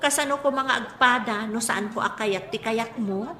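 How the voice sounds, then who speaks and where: A woman's voice: fairly high pitch, normal speed, pushed and bright, echoey room. An actress with an Ilocano Filipino accent playing a vain, boastful mother in a radio drama. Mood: dismissive. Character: vain, boastful mother proud of her own beauty.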